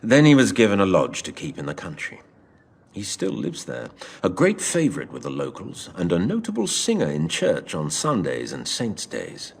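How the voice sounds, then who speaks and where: A man playing a seafarer who tells his past adventure in a measured, literary, expressive way. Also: full character voice. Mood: wry. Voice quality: low, gravelly. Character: older seafaring man telling his past adventure to a boy, wry and fond. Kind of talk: dramatised storytelling narration.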